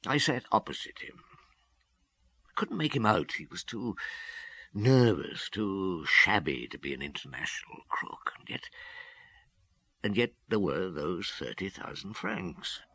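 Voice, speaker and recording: A brooding, deep-voiced detective. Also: male voice, bright, forceful tone, slightly rough, exaggerated voice. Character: brooding, guilt-ridden police detective, world-weary middle-aged man. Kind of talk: radio drama acting.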